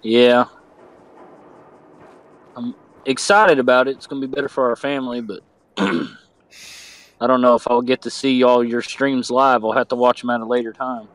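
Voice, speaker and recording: A man's voice: mid-range voice, normal speed, pauses often, fairly bright tone, low-quality recording. A man joining a friend's stream for casual chat, a little stuffy from a cold, laughing easily.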